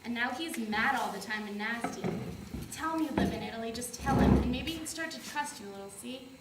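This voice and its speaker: A woman plays an anxious young American woman who talks fast, pleading and emotional, in a slightly high voice. She is heard from a distance in an echoey space with clear noise around her. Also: natural voice. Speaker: stage actress. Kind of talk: stage play performance. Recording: roomy, distant mic, noisy.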